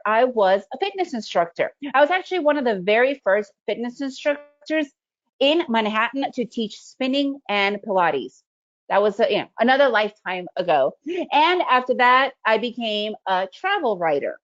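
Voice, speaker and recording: A middle-aged woman whose first language is Russian leads a trading webinar in a fairly high, conversational voice, often checking in with "right?". She comes through a video call with slightly dull, lo-fi sound. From Soviet Union, raised in the United States. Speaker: day trading educator. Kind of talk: webinar presentation.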